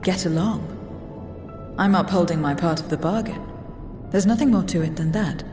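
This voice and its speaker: An actress playing an aloof, blunt, solitary woman in a video game cutscene, with terse, deadpan delivery. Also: lowish voice, normal speed, natural voice. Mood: puzzled, curt. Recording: long echoing reverb with music underneath.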